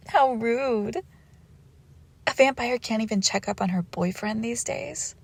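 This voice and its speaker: Breathy, teasing vampire girlfriend acted by a woman. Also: fairly high pitch, expressive, heavily acted. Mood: teasing, haughty. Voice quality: breathy, often dropping into whispers. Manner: teasing, haughty, slow and deliberate. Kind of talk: intimate romantic audio roleplay, often whispered.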